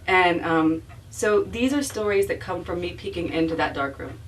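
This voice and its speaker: Halting, reflective woman, recorded from a distance. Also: on the low side. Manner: halting, self-deprecating, reflective, restarts phrases. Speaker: author being interviewed about her book. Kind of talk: informal spoken book talk with audience. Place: bookstore event. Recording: distant mic, faint room noise.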